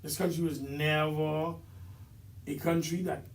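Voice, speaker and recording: A man chatting on a podcast, halting and repeating his words as he makes a serious point. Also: fairly high pitch, flat delivery, recorded from a distance. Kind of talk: casual podcast chat. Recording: roomy echo.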